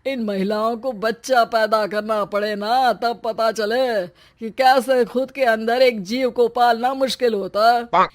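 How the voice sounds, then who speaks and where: A woman's voice: on the high side, fairly even intonation, takes it slowly, slight background noise, voice pushed down. A woman voicing a sympathetic older father who grumbles along with his son in a cartoon story. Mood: sympathetic, grumbling. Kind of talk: cartoon story character acting.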